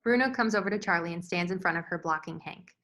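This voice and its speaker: A woman's voice: on the low side, fast talker, a bit bright. A woman narrator reading scene headings and stage directions from a screenplay in a scripted style.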